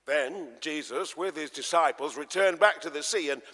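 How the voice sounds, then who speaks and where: A man narrating scripture dramatically, like a theatrical storyteller, with emphatic swells and hushes. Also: on the high side, moderate pace. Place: large church, live performance. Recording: echoey church, long reverb.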